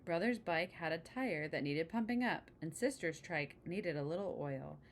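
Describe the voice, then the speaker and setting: A woman reading a children's picture book aloud, pausing for chatty asides and questions to the listener. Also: fairly low pitch, expressive, a little hiss or hum. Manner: engaging, asks the listener questions. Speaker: storybook reader.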